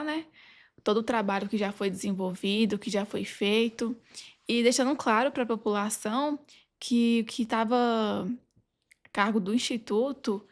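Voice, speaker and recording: Creaky-voiced Brazilian woman, halting delivery. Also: medium pitch. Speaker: legal adviser, podcast guest. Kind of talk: conversational podcast interview.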